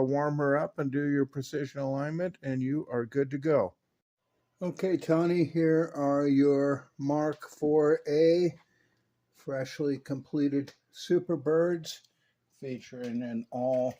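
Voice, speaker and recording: A man with a soft, mellow voice of average pitch talks as a repair technician. He walks a customer through a hands-on demonstration, explaining each step in a methodical way. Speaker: radio repair technician presenting his restoration work.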